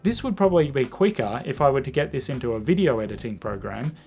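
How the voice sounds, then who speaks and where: A man's voice: mid-range voice, animated, fast, vocal fry, darkish tone, faint music behind. A man talking casually to camera about language learning, reflective and self-deprecating, with many "like"s.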